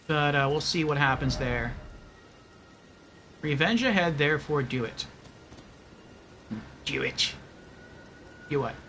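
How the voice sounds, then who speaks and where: A man's voice: fairly high pitch, lively intonation, slow pace, pauses often, sharp tone, a little hiss or hum. A man giving casual livestream game commentary, thinking aloud and reasoning things out step by step.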